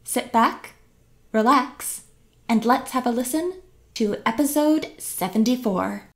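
A woman's voice: fairly high pitch, animated, slow, music in the background. A woman giving a scripted podcast introduction as producer and host.

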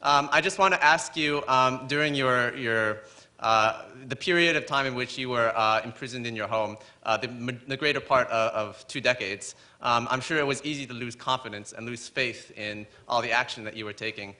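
A young man, a student, politely and somewhat haltingly putting a question at a public forum. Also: medium pitch, sharp tone. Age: young adult, about 20. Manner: hesitant, polite. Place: indoor forum, standing among audience. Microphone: microphone on a stand.

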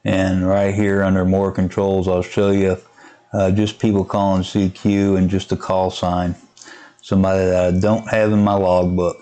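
A man giving a casual, unscripted software tutorial, hesitant and thinking aloud as he goes. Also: low voice, completely flat delivery, normal speed. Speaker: amateur radio hobbyist giving a software tutorial. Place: indoors at a computer, voice-over of screen recording.

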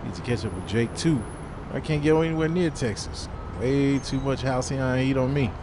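A man's voice: mid-range voice, moderate pace, natural voice. An actor playing a wary, foul-mouthed drifter in his thirties in an audio drama, talking in casual slang. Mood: weary, bitter. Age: 30s. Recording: noisy outdoor urban ambience.

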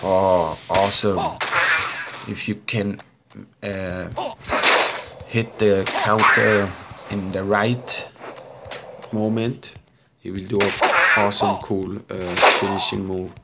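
A man from Sweden with a Swedish accent, casually commenting on a mobile game he is playing in halting, enthusiastic English full of fillers. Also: low-pitched, steady tone. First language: Swedish or Hungarian. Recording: loud background noise.

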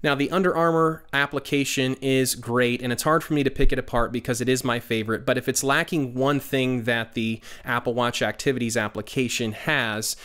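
Man, 30s, tech reviewer, smooth clear voice, mid pitch, steady how-to voice-over with casual fillers, close mic. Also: brisk, few pauses. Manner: explanatory, steady, with frequent "uh" fillers.

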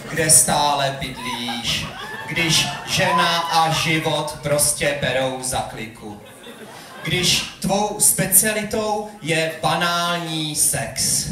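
A man's voice: on the high side, bright, forceful tone. A young man performing slam poetry live on a club stage, reciting in a rhythmic, theatrical way. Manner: rhythmic, wordplay-driven, theatrical delivery. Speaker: slam poet competing on stage. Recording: live club hall echo, audience noise.

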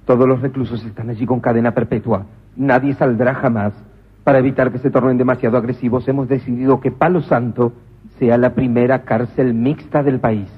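An actor playing a stern, ruthless police commissioner in a radio comedy drama, with a husky voice that flips into manic shouting. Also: male voice, mid-range voice, fairly even intonation, brisk, lightly acted. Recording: muffled old radio broadcast.

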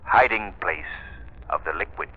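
An actor playing a mysterious, menacing avenger in a 1930s radio drama, speaking in a stern, deliberate, commanding way. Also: male voice, low voice. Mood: cold, commanding. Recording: muffled 1930s radio broadcast recording.

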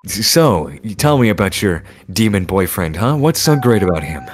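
A man's voice: on the low side, big pitch swings, normal speed, a crowd in the background. A man improvising a game roleplay, dry and sarcastic. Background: background music.

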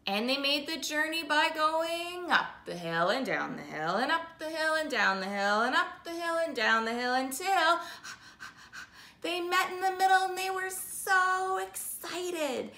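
Animated, sing-song woman storyteller. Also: high-pitched. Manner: slow, sing-song, repetitive rhythmic phrasing with drawn-out words. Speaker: children's storytime host. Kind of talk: animated oral storytelling for young children. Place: living room, indoors. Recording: roomy indoor echo.

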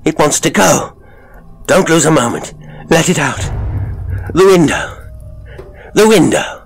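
A man voicing a tormented, haunted gentleman in an audiobook, with a strained, feverish, exclamatory delivery. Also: a little slow, slightly rough, soft background music, heavily acted. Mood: frantic excitement. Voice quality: high, keen, strained when excited. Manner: feverish, exclamatory, repeating himself. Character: frantic, tormented gentleman, haunted and near breakdown.

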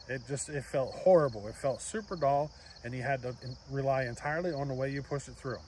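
A man's voice: medium pitch, darkish tone, slight background noise. A man in his forties hosting a chainsaw channel, explaining his results in a chatty way straight to a phone camera outdoors, often asking "you know what I mean?". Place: outdoors by trees, at a picnic table. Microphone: phone camera close up at arm's length.

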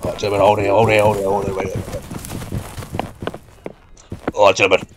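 A man's voice: on the low side, completely flat delivery, rapid-fire. Strained man barking officer orders, noisy. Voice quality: strained put-on voice. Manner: repetitive rallying orders, matey slang. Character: blustering matey male Australian military officer leading troops. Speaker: gamer playing a line officer in an online game. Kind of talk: in-character shouted commands over game voice chat. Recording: noisy game audio, horses and battle sounds.

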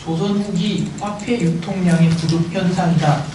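A man reads a passage aloud in a classroom at a steady pace, tripping over a word now and then. He sounds far from the mic, in a very echoey room. A clear hiss runs underneath his voice. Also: high-pitched, moderate pace. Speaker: student reading aloud. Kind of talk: reading a text aloud.

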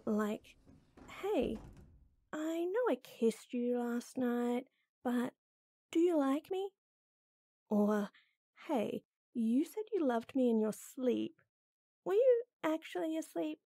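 A woman's voice: sits high, vocal fry, mellow, dry recording, studio quality, full character voice. A woman playing a flustered, lovestruck young woman in an intimate audio roleplay, hesitant and teasing.

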